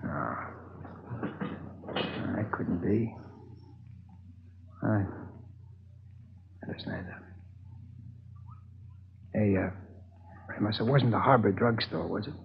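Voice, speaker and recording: An actor in a 1950s radio crime drama playing a cocky, prying jailed killer with a slangy, needling way of talking. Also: male voice, fairly low pitch, takes it slowly, gravelly, pauses often, a little hiss or hum. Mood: anxious. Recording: dull old radio recording.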